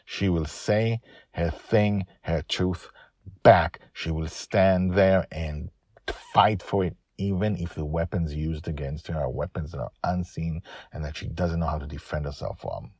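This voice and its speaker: A middle-aged man speaking as an author and podcast host, dramatic and emphatic, with deliberate pauses and repeated phrases. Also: low-pitched, darkish tone, slightly rough. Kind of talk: emphatic solo storytelling podcast commentary and credits.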